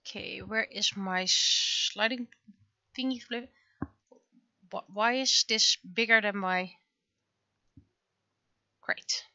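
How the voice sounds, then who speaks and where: Bright-voiced woman musing on a livestream. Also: mid-range voice, slow, long pauses, muffled recording. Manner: musing aloud to herself in short fragments. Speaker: livestreaming artist. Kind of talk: casual livestream chat while drawing.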